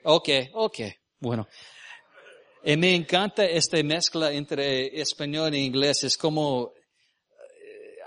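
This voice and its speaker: American man preaching in halting Spanish. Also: a little high, a bit bright, no room sound, clean studio sound. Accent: American English. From Venezuela. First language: English. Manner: halting, searches for words, asks the audience. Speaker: missionary preacher. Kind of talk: sermon with personal stories. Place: church.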